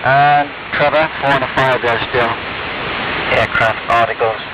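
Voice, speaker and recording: A man's voice: medium pitch, steady tone, unhurried. An older man, chatting casually and at length on an amateur radio net, rambling and self-deprecating. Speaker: ham radio operator. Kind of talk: casual on-air radio chat. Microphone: radio transceiver mic heard over the air. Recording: noisy, band-limited shortwave radio hiss.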